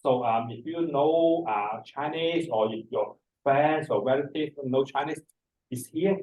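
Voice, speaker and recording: Older-ish hong kong guy teaching a seminar, halting and repeating himself, mic kinda far away. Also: mid-range voice, a little mellow, slight background noise. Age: middle-aged or older. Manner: halting, repeats words, hesitant phrasing. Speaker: feng shui master, seminar speaker. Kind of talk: informal seminar presentation. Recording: distant microphone in a room.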